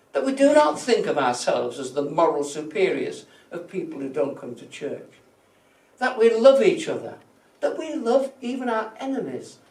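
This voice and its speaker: A male church minister gives a thoughtful sermon in a fairly high voice. He speaks deliberately, leaning on key words and letting phrase endings drop away softly. The sound is very echoey and somewhat distant from the microphone. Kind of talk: reflective sermon from prepared notes.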